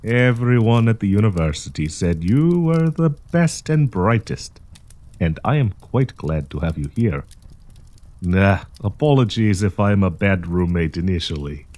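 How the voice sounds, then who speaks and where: A man's voice: fairly low pitch, big pitch swings, darkish tone. A man playing a kindly old professor with a hidden possessive menace, courtly and teasing in an intimate audio roleplay. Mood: genial, businesslike. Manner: courtly, teasing, chuckling, deliberate.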